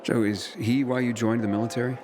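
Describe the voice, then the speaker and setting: An actor playing a low-key newcomer recruit in a video game, hesitant and understated but curious. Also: male voice, flat delivery, fairly quick, background noise, natural voice.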